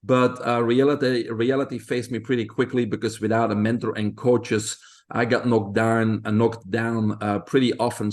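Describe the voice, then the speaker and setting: Casting: Belgian-accented man in his fifties, somewhat low voice, unhurried storytelling with pauses. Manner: plain storytelling with frequent "uh" pauses. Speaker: guest expert.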